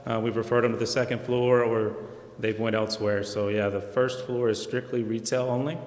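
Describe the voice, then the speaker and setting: A man's voice: medium pitch, level tone, moderate pace, a little mellow. A man from Oklahoma giving polite, informal answers as an investor at a public meeting. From Oklahoma, United States. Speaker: property investor addressing a council. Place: indoor council chamber. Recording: echoey council chamber.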